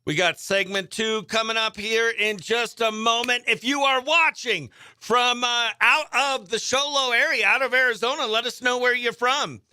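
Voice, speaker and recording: A man hosting a radio show in a breezy, excitable way, chatting and calling out greetings to viewers. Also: very high voice, normal speed, few pauses, clean tone. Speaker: radio host.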